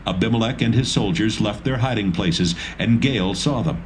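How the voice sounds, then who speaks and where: A man narrating a dramatised Bible reading in a steady, measured way. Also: lowish voice, slight background noise. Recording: narration over music.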